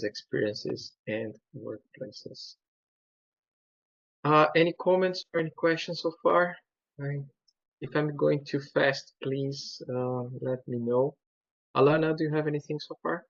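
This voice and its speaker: Brazilian-accented male presenter, non-native speaker, halting delivery with lots of fillers, dry muffled video call sound. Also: mid-range voice, gravelly, no echo. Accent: Brazilian Portuguese. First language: Portuguese. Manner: halting, many fillers and restarts. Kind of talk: webinar presentation.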